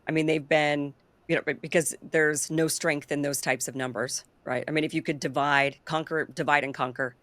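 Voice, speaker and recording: A woman's voice: sits low, fairly even intonation, normal speed, a bit bright, no echo. A woman hosting a political talk show, chatting in an opinionated, loose way and laughing easily. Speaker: show host. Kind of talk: casual political chat.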